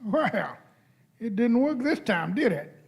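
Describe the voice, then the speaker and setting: A woman's voice: a little high, sing-song, slow pace, darkish tone, breathy edge, heavily acted. A woman playing a plucky, informal young time-travelling companion in a radio play, talking casually and colloquially. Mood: puzzled. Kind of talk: amateur radio play performed live.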